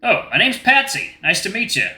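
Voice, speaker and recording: A man's voice: high voice, sing-song, moderate pace, pushed and bright, voice pushed up, full character voice. A man voicing a timid, worried male gray wolf in a visual novel, hesitant, apologetic and pleading. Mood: nervous, pleading. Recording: roomy echo with music behind.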